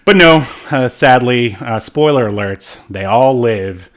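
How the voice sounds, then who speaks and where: A man's voice: mid-range voice, expressive, a little slow. Rambling man co-hosting a movie podcast. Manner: rambling, hesitant, frequent asides. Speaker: podcast co-host. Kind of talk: casual movie review chat.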